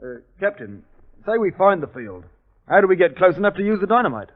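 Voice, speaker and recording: An actor playing a sarcastic, emphatic army sergeant in a 1950s radio war drama. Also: male voice, sits high, sing-song, brisk. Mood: businesslike. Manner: sardonic, emphatic. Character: gruff, sarcastic army sergeant keeping his men in line. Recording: dull 1950s radio recording.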